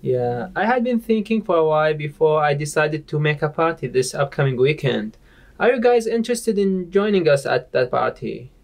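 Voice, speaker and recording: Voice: mid-range voice, expressive, normal speed, gravelly, a little mellow. A performer voicing a sociable party-planning puppet in an amateur skit, speaking careful, learner-like scripted English. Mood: friendly. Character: sociable party host among puppet friends.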